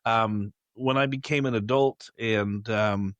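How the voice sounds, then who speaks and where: A man's voice: lowish voice, fairly even intonation, slow, fairly bright tone, no echo, dull audio. A man with an American accent, hosting a podcast in a conversational discussion. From United States. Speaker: podcast host.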